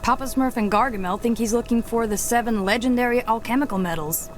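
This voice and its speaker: A woman's voice: fairly high pitch, fast, soft background music, lightly acted. A woman voicing a thoughtful, level-headed female Smurf, reasoning earnestly in a cartoon video game.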